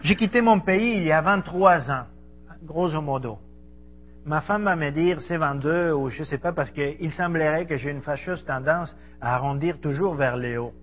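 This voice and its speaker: Man preaching, slightly high voice. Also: normal speed, muffled recording, a little hiss or hum. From Argentina. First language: Spanish. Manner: earnest, conversational, addresses the audience directly. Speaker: missionary preacher. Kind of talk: sermon to a congregation. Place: church hall.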